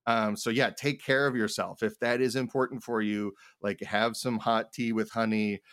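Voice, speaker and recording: A man co-hosting a casual advice chat about game mastering, halting and rambling as he thinks aloud. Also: on the low side, level tone, a bit bright, dry recording, clean studio sound. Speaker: podcast co-host and game master.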